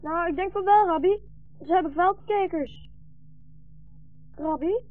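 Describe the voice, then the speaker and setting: Small boy, high childlike voice, hungry, plaintive, trusting, muffled old radio-play recording. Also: a little slow, no room sound, soft background music. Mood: timid, hopeful. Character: hungry, trusting young boy, war orphan refugee. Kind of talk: 1960s Dutch radio drama acting.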